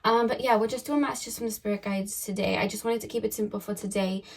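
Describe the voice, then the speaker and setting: A woman giving a casual tarot reading to her viewers. Her voice is hoarse and croaky, and she talks in a chatty, rambling way, full of "um" and "like". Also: mid-range voice, fairly even intonation, quick pace. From United Kingdom. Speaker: tarot card reader.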